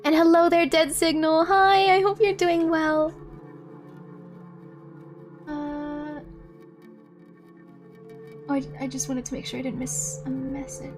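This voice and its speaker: A giggly, expressive woman hosting a casual livestream, chatting with viewers and reading a story aloud. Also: high voice, slow, long pauses. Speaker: livestream host. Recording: music playing behind the voice. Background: background music.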